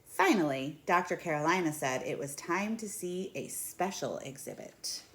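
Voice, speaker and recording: Low-pitched woman reading a storybook aloud. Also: animated, normal speed. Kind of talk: picture-book read-aloud. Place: small indoor room at home.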